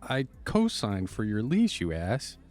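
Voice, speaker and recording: A man's voice: lowish voice, wildly swooping pitch, measured pace, a little mellow, soft background music. A man playing a good-natured but exasperated young buddy in an audio drama, casual and wry.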